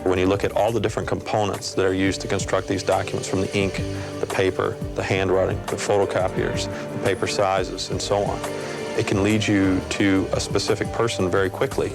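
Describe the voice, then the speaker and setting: Low flat-voiced guy, methodical expert explaining stuff, music behind him. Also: a little fast, gravelly. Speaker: document examiner interviewed as expert. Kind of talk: explanatory interview answers.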